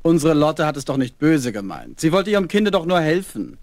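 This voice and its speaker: A man's voice: on the high side, very animated, brisk, darkish tone, no room sound. A man playing an indulgent, jovial father in a 1970s children's radio play.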